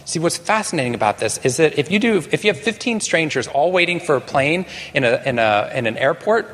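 A man from Texas giving a conference talk to a live audience, animated and self-deprecating, with some restarts. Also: on the high side, a little fast, in a large hall. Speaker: conference speaker. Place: large conference hall.

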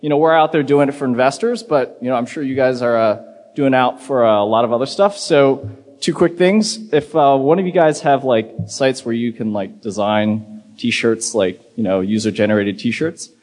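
A man's voice: medium pitch, normal speed, darkish tone. A man giving a casual, rambling acceptance speech, full of ums and you-knows. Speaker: award winner. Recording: reverberant hall.

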